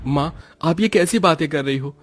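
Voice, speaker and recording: An actor playing a firm, protective young husband and son in a melodramatic family story, earnest and assertive. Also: male voice, on the high side, moderate pace, a bit bright.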